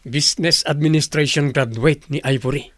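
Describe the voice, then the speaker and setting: Higher-pitched Filipino businessman. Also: normal speed, fairly bright tone, no echo. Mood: matter-of-fact. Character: businessman friend, easygoing, recruiting a young graduate. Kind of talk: Filipino radio drama acting.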